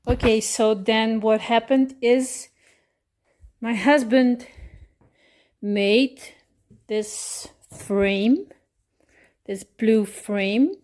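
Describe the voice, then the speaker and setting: A woman with a Dutch accent casually explaining a craft project, pausing often with fillers and correcting herself as she goes. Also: fairly high pitch, steady tone, a little mellow. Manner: hesitant, many pauses and fillers, self-correcting. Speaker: hobby crafter showing a miniature build. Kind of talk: casual show-and-tell explanation.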